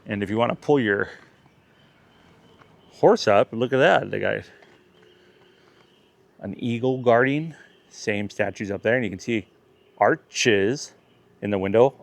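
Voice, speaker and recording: A man's voice: fairly low pitch, unhurried, creaky, pauses often, dry recording. A man vlogging outdoors while touring a neighborhood, chatty and jokey, correcting himself as he goes. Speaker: vlogger, tour guide. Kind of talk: casual touring commentary.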